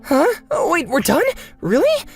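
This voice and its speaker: Manga dub role: eager wide-eyed young boy, high and animated male performer. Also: unhurried, exaggerated voice. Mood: confused. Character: eager, earnest young schoolboy learning programming. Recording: music bed behind the voice.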